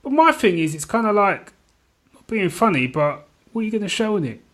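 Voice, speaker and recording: A man co-hosting a podcast, chatting casually about TV shows in a slangy, animated storytelling way, often checking that his listener follows. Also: sits high, brisk. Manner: animated retelling, slangy, peppered with "you know what I mean". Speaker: podcast co-host.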